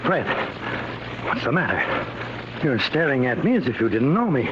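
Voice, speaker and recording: Actor as animated fatherly professor, muffled radio. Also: mid-range voice, moderate pace, close to an everyday voice. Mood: anxious, relieved. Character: fatherly male professor, anxious, kindly scientist. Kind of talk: 1940s-50s American radio drama acting. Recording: muffled, noisy vintage radio recording.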